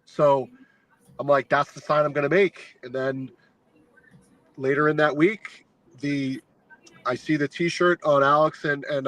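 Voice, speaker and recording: Man, podcast guest, casual rambling storyteller, animated, slightly high voice, dry sound. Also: no room sound, rough recording. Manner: animated, rambling, many filler likes. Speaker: guest, fan sign maker.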